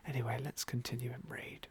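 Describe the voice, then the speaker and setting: A man giving gentle, musing ASMR roleplay commentary on a game in a soft, breathy whisper. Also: medium pitch. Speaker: game playthrough host.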